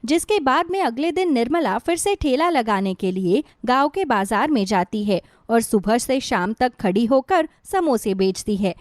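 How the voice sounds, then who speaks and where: A woman narrator reads a scripted moral story. Her voice is fairly high, and the recording is very dry with no room sound. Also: expressive, moderate pace, few pauses, no room sound.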